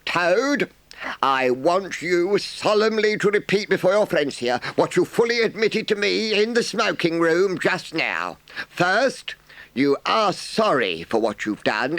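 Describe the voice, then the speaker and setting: A man voicing a solemn, stern but kindly elderly badger who makes slow, pompous speeches in a storybook reading. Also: high voice, big pitch swings, creaky, a bit bright, no room sound, pitched above the performer's own voice, exaggerated voice. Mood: solemn, gratified.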